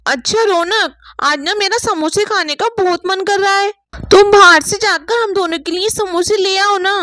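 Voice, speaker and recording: Woman voicing a haughty young rich wife, very high-pitched. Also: normal speed, pushed and bright, no echo, clean studio sound. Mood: annoyed, bossy. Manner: taunting, sneering, dramatic. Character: haughty, spiteful young rich daughter-in-law.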